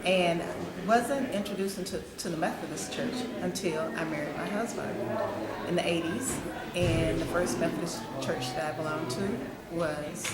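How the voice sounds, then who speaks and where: A woman from Birmingham, Alabama, a church member, introducing herself in a plain, unhurried way. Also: on the low side. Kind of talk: self-introduction to camera. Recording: roomy hall with crowd noise behind.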